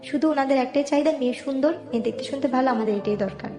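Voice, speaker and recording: A woman's voice: high voice, quick pace. A young adult Bangladeshi woman with a Dhaka accent, telling her own life story in colloquial, detailed conversation. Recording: roomy voice with music underneath. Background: background music.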